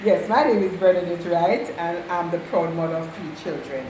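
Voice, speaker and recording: A woman, the host's mother, fondly telling stories about her daughter's childhood at an unhurried pace and repeating herself for emphasis. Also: on the low side. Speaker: mother of the channel host, guest. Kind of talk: casual storytelling. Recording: echoey room, distant mic, noisy.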